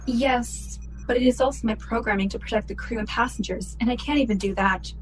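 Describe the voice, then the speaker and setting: A woman playing a logical, guilt-ridden female ship's computer in a sci-fi radio play, speaking in formal, measured phrases. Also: on the high side, a little hiss or hum, lightly acted, rough recording. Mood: regretful. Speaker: actor in a student radio play.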